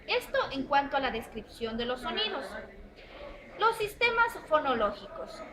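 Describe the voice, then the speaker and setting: A woman presents a class lesson in a high, sing-song voice that rises and falls a great deal. She reads in lists, leaning on each item, with crowd chatter clearly heard behind her. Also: big pitch swings, moderate pace. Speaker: student presenter.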